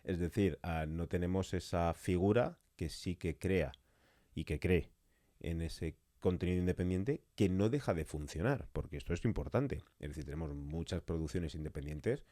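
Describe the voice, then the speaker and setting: Deep, mellow-voiced man from a podcast interview. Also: normal speed, no echo, studio quality. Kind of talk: conversational interview with long reflective questions.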